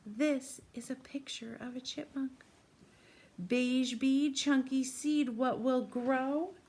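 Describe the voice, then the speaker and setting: Slow, high-voiced woman reading to children. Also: fairly even intonation, darkish tone. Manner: asks questions and pauses as if children answer. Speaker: teacher reading a picture book to young children. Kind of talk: interactive children's read-aloud. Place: small room at home, indoors.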